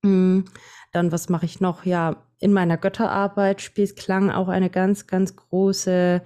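Woman, twenties, Franconian German accent, mellow, lowish voice, relaxed podcast guest. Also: clear, resonant tone. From Franconia, Bavaria. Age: 20s. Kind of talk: casual podcast chat.

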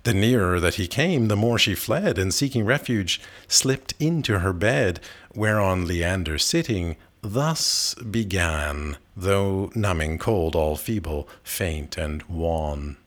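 Slow, low-voiced man reading verse. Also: expressive. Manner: measured, dramatic phrasing with pauses. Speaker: reader of poetry. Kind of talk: expressive literary recitation of narrative verse.

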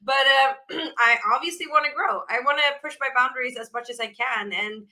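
A woman rock vocalist with a naturally raspy voice, chatting casually in an interview, with lots of fillers and restarts. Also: high voice, brisk, sharp tone. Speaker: rock band vocalist, guest.

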